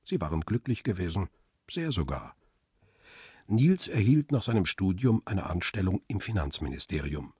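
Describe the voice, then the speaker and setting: A man's voice: deep voice, big pitch swings, normal speed, darkish tone, slightly rough, dry recording, clean studio sound, full character voice. An actor playing a world-weary middle-aged paparazzo in a crime radio play, reflective and understated as he tells his story. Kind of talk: radio drama, first-person narration and dialogue.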